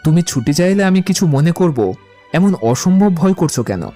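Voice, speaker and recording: A man's voice: on the high side, lively intonation, normal speed, dark tone, natural voice. Guy playing a playful wordy young romantic gent, theatrical, bit of music under it. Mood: teasing, declamatory. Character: Amit, witty, romantic, eloquent young gentleman. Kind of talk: audio drama acting. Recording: music behind the voice.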